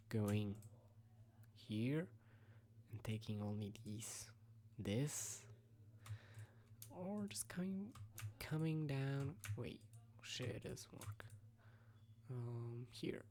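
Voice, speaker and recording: A teenage young man with a rough, sick-sounding voice gives a casual, halting trading recap, thinking aloud and sometimes sinking to a near-whisper. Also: mid-range voice, dark tone. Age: teenager, about 17. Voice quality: rough, breathy, creaky. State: rough voice from recent sickness. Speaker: day trader recapping own trades. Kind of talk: casual screen-recap commentary.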